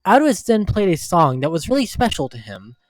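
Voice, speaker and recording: A man's voice: high voice, big pitch swings, a little fast, no echo, faint music behind. A man reading scripted scene narration for an amateur audio drama.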